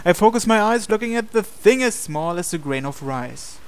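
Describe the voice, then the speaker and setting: A man's voice: sits high, lively intonation, moderate pace, clean tone. A man hosting a game playthrough, reading visual novel narration aloud expressively with casual asides.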